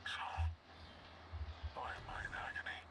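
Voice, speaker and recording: A man voicing a tormented, hollowed-out vessel of a dark power in a video game, breathy, halting and bitter. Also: natural voice. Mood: anguished. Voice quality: breathy, whispering. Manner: anguished, halting, intense, bitter. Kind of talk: dramatic video game cutscene acting.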